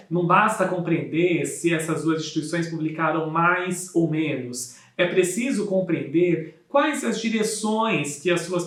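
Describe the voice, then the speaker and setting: The voice is a Brazilian man's, pitched a little high. He presents research in a formal, measured academic style, explaining step by step. The sound is strongly roomy, with clear echo around the words. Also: fairly bright tone. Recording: echoey room sound.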